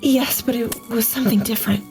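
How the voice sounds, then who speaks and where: An actress playing a gentle young village wife who giggles and speaks earnestly, in an audio drama. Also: female voice, a little high, steady tone, fairly quick, soft background music, lightly acted. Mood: calm.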